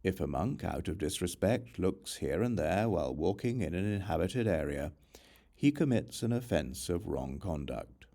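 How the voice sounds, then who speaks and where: A man narrating a religious text as an audiobook in a measured, formal, deliberate manner. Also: low-pitched, expressive, dark tone.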